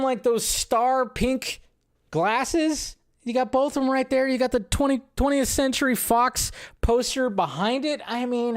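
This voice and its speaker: A man hosting a casual live commentary show, excitable and chatty, with frequent fillers, restarts and mild swearing. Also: high voice. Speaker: show host.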